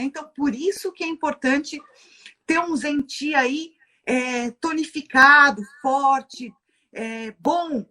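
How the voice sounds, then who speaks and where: Brazilian woman, high voice, teacherly, poses questions to herself and answers them. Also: a bit bright. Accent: Brazilian Portuguese. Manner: teacherly, asks herself rhetorical questions, tags sentences with "né?". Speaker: guest lecturer on Chinese medicine. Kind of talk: explanatory talk from a livestream.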